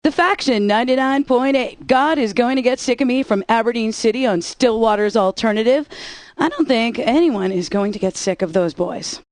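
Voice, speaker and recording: A woman's voice: on the high side, moderate pace, no room sound. Sassy woman radio DJ. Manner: sassy, playful, teasing. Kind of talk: scripted radio DJ patter.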